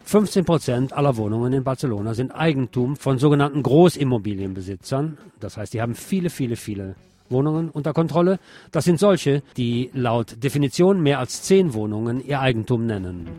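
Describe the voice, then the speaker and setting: A man's voice: mid-range voice, expressive, fairly quick, warm tone, soft background music. A man reading scripted radio news and commentary, adding opinionated asides of his own.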